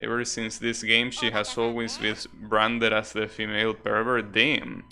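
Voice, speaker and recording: A man's voice: fairly low pitch, monotone, a little slow, sharp tone. A man doing casual live game commentary, chatting with viewers in an opinionated, grumbling way with mild swearing. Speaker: game streamer. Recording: game music playing behind the voice. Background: video game music.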